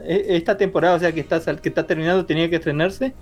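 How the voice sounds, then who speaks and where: A man's voice: a little high, steady tone, rapid-fire. A man co-hosting a casual anime chat, cracking crude jokes and often restarting his sentences. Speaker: podcast co-host.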